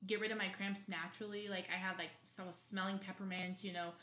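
Bright-voiced chatty woman. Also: medium pitch, flat delivery, fast talker, roomy sound. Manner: chatty, dramatic storytelling with frequent laughs. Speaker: podcast co-host. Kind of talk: casual chat.

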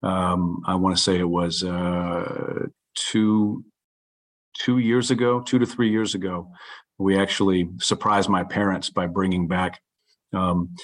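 Deep, husky-voiced man, earnest guest. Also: little pitch movement. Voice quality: loud voice. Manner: earnest, halting, many restarts. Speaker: guest, author and coach. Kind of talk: reflective interview talk.

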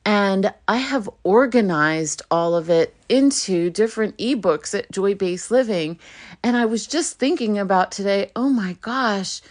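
A middle-aged woman hosting her own solo podcast, talking earnestly and reflectively, getting animated when she acts out what people say. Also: medium pitch, unhurried. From United States. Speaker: podcast host.

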